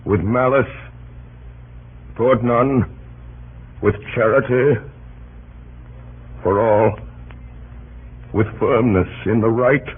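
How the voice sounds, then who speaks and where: Earnest statesman, solemn oratory, very slow deliberate phrasing, old radio drama, tinny narrow-band recording. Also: medium pitch, slightly rough. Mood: solemn, resolute.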